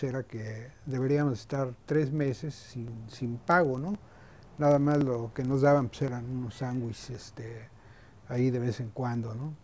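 A man's voice: medium pitch, warm tone. An older man with a Mexican accent, casually reminiscing in an interview and hesitantly searching for words. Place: small cluttered indoor room.

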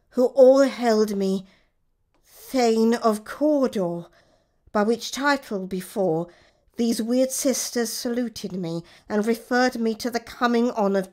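A woman playing an ambitious noblewoman in a Shakespearean radio drama, reading a letter aloud in a measured, deliberate way. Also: medium pitch, a little mellow, natural voice. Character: ambitious noblewoman poring over her husband's letter. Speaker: actor in an amateur radio drama.

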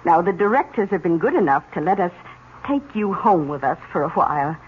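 An actress playing a well-meaning, devout society aunt in a 1970s radio drama. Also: female voice, lowish voice, animated, a little fast, lightly acted. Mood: earnest. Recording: thin, phone-like vintage radio recording, faint noise.

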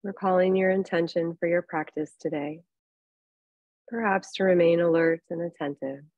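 A woman teaching yoga nidra, leading a guided relaxation in a calm, unhurried, soothing manner with long pauses. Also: fairly low pitch, gravelly, no echo, dull audio. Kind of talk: guided relaxation meditation, instructing softly.

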